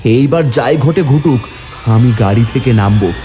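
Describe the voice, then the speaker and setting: A man's voice: expressive, moderate pace, music in the background, lightly acted. A man playing a village man who tells his own horror story dramatically in a cartoon. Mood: grave narration. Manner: theatrical, rising into high pleading. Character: frightened, hungry village man telling his ghost tale. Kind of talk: dramatic first-person horror story narration.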